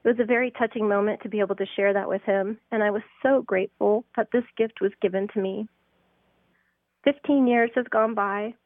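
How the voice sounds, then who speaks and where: A woman's voice: medium pitch, no echo. A woman reading her own heartfelt personal story from a script, on a slightly lo-fi recording.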